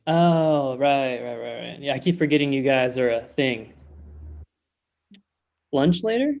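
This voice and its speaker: A man's voice: medium pitch, animated, unhurried, vocal fry, a little mellow. A young man playing a hapless, lovestruck thirty-year-old receptionist in a comedy script read over a video call. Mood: awkward, hopeful. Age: young adult. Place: indoor room at home, video call.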